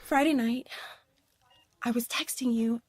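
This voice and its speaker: A guilt-ridden teenage girl from an audio drama, played by a woman, speaks in a high, halting voice. She pleads anxiously, and the sound is dry and close. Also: fairly even intonation, breathy edge, no room sound. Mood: anxious, guilty.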